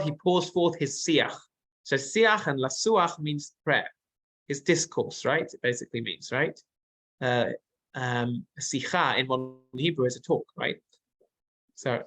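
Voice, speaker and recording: A man gives a Torah class in a bright, fairly high voice. He reads out Hebrew lines, translates them, and explains them in a loose, thinking-aloud way. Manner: thinking aloud, with frequent fillers and restarts. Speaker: Torah teacher. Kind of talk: text-based Torah class.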